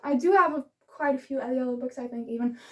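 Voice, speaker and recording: A young woman whose first language is Dutch, with a notably high voice. She talks casually and enthusiastically, rambling and giggling as she recommends books in a relaxed vlog. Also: fairly quick. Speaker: book vlogger. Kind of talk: casual book review vlog.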